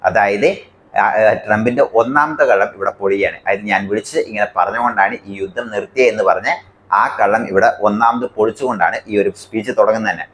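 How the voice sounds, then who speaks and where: A man's voice: lowish voice, fast, a bit bright. A man from India with a Malayali accent explains the news to viewers as a commentary host, emphatic and point by point.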